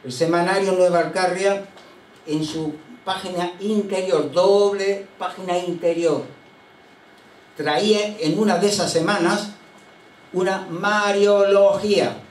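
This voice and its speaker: An older man from Chile, preaching a sermon as a pastor and telling anecdotes in an unhurried way with frequent hesitations. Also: sits high, steady tone. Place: church sanctuary. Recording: roomy church sound from a distant mic.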